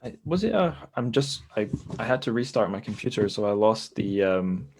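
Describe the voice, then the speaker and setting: A man's voice: lowish voice, big pitch swings, normal speed, a little mellow, slightly rough. A man hosting a casual podcast discussion in a tentative, self-deprecating way. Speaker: podcast host.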